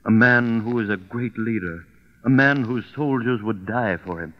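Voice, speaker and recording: An actor playing a formal, earnest government envoy who pleads respectfully, in old-time radio drama style. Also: male voice, fairly low pitch, moderate pace, long reverb tail, lightly acted. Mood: formal, urging. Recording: dull vintage radio recording.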